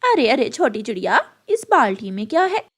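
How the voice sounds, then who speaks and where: A woman's voice: on the high side, very animated, normal speed. A woman voicing a greedy, bullying female crow in a cartoon, with a pushy, demanding manner.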